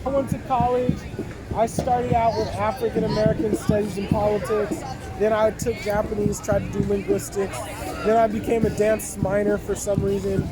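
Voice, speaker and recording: A man with a notably high voice talks casually in a park, starting sentences over as he thinks them through. A loud crowd surrounds him outdoors. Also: flat delivery, normal speed, over loud music, low-quality recording. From San Francisco. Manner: hesitant, thoughtful, restarts sentences. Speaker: interviewee. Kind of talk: casual street interview. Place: busy city park outdoors.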